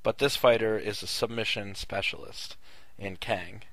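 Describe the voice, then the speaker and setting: A man's voice: lowish voice, fairly even intonation, measured pace, a bit bright, dry recording. A man co-hosting a casual sports podcast, laid-back and wry, teasing his partner. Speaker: podcast co-host.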